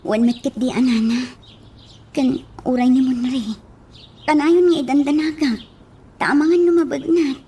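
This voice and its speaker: A woman's voice: high-pitched, steady tone, normal speed, long pauses, warm tone. A woman with an Ilocano accent acting a worried, earnest young woman in a radio drama. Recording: faint noise with outdoor ambience.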